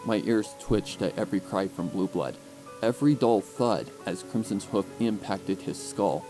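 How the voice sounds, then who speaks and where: Male audiobook narrator, fairly low pitch, theatrical dramatic read with music underneath. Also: moderate pace, warm tone. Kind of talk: dramatic scripted story narration.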